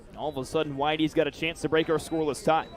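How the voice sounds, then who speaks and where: Higher-pitched man calling baseball play-by-play. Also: level tone, very fast. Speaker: play-by-play announcer. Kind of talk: live baseball play-by-play commentary.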